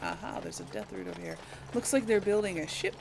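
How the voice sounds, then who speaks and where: A woman's voice: fairly low pitch, wildly swooping pitch, fast talker, vocal fry, background noise. A woman hosting a casual let's play, musing aloud to herself and the audience as she plays. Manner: musing, talks to herself while playing. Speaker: gaming video host.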